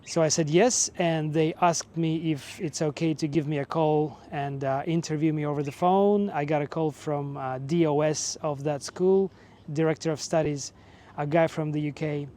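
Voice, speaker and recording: A man in his forties from Russia, speaking English, telling his own story in a relaxed, measured way with fillers. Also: on the high side. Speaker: vlogger and teacher. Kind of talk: casual personal storytelling.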